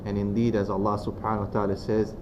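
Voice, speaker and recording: A man from Romania gives a talk on faith to a room of students. He speaks in a fairly low voice, softly and without hurry. The recording is muffled and has a steady noise behind it. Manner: soft-spoken, unhurried, many pauses and fillers. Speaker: guest speaker. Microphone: venue microphone. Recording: dull lo-fi sound with clear background noise.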